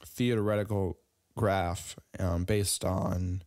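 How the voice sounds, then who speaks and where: A young adult man giving a casual online business lesson, halting often with fillers and restarts. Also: sits low, steady tone, a little mellow, no echo, studio quality. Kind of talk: casual instructional talk.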